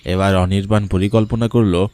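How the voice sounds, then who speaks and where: A man's voice: low-pitched, steady tone, normal speed, darkish tone, clear, resonant tone. A man narrating a scripted cartoon horror story. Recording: background music, night insects.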